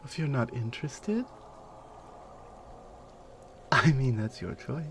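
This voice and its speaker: A woman's voice: a bass voice, animated, takes it slowly, a little hiss or hum, voice pushed down, full character voice. A woman playing a big, strong Amazonian warrior in an ASMR roleplay, commanding and amused, with a teasing, condescending, self-assured way of talking. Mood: firm, curious.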